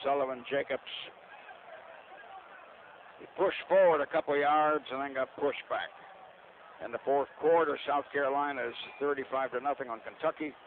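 A man calling American football play-by-play live on the radio, rooting for his team in short, clipped phrases. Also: a little high. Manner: partisan, clipped fragmentary phrases. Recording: lo-fi radio broadcast, narrow band, loud noise.

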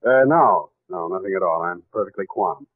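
An actor playing a hard-boiled, wisecracking private detective in a dry, wry 1940s radio style. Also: male voice, deep voice, normal speed, dry recording, close to an everyday voice. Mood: wry, exasperated. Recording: narrow-band 1940s radio recording.

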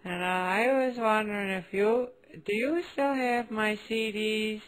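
Meek male cartoon sad sack: slow, hesitant, gloomy, forever apologetic. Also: high-pitched, fairly even intonation, a bit bright, low-quality recording. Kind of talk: cartoon phone-message acting.

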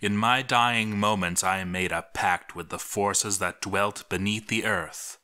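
A man performs a dead villain who has sold his soul to demons and wants revenge. The delivery is low, very slow and menacing, dropping to a hush, with a sharp edge in this dark fantasy tale. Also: steady tone, clean tone. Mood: brooding, vengeful.

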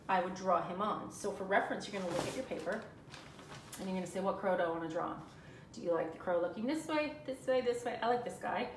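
A woman in her thirties leads a live furniture-painting lesson, chatting to her viewers as she works. Her voice has a middle pitch and is caught by a nearby phone in an echoey room. A steady background noise sits under her talk. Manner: chatty and encouraging, talks to viewers while working. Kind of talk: live-streamed painting tutorial. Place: room indoors, seated on floor by a cabinet.

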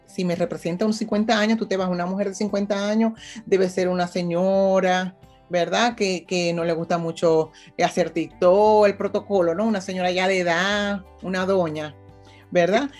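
Venezuelan lady, about fifty, chatty interview guest, keeps asking "ves?", soft music way back behind her. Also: lowish voice, normal speed. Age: 50s. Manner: animated, often checks in with "¿ves?". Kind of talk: casual conversational interview.

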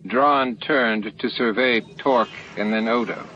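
A man's voice: lowish voice, steady tone, unhurried, pushed and bright. A man reading a science fiction novel aloud as an audiobook narrator, in scripted narration. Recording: dull lo-fi audio, faint noise.

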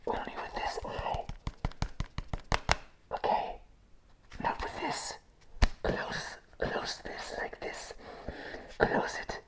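A man's voice: very slow, long pauses, a bit bright, low-quality recording. Man acting a whispering, creepy crazy clown. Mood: eerily eager. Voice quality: breathy whisper. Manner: repeats words obsessively, giggly. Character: crazy circus clown, creepy, giddy, eager examiner. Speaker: ASMR roleplay performer. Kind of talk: whispered ASMR roleplay.

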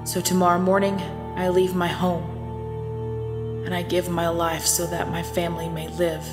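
Casting: actress for grave, self-sacrificing young woman, old-fashioned formal speech, low register, music behind, audio drama. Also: completely flat delivery, moderate pace, natural voice. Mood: grave, resolute. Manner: solemn, formal diction. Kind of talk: ASMR audio drama acting. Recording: long reverb under new-age music.

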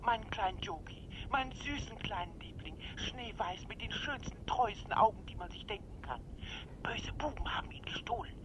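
A woman's voice: mid-range voice, animated, moderate pace, whispered, low-quality recording. An actress playing a sentimental woman caller on the telephone who mourns her lost pet and will not hang up. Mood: cloying. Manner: sentimental, cloying, insistent. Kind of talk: radio drama acting.

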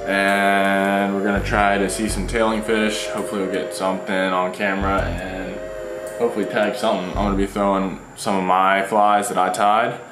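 A man's voice: sits low, level tone, normal speed, over music. A young man vlogging casually about fishing, rambling with fillers, recorded first in a garage and then out on the water. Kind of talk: casual vlog talk. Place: home garage, then a paddle board out on the marsh. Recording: echoey garage, then windy outdoor camera audio.

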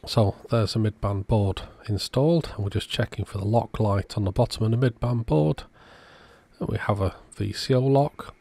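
A man's voice: fairly low pitch, normal speed, gravelly, dark tone, dry recording. A man giving a casual, matter-of-fact workbench narration as the host of an electronics repair video.

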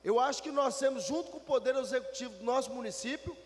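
A man with a very high-pitched voice presses a complaint at a council meeting, urging his colleagues to act. He speaks into a table microphone, and the sound carries the long reverb of a large chamber. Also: fast talker. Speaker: city councillor. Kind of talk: formal council speech. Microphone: table gooseneck mic.

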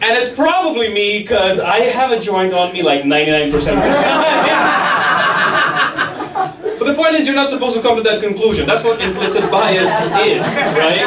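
A young man doing a stand-up set on a club stage with a handheld mic, delivering mock-authoritative rules and quick asides. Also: high-pitched, lively intonation, very noisy. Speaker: stand-up comedian. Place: comedy club stage, audience laughing. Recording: echoey club from far off, loud audience laughter.

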